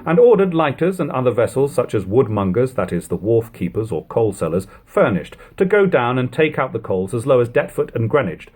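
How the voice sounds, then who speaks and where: Male narrator for classic literature: lightly husky tone, animated intonation, measured storytelling delivery. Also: mid-range voice. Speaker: audiobook reader. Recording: lo-fi home recording.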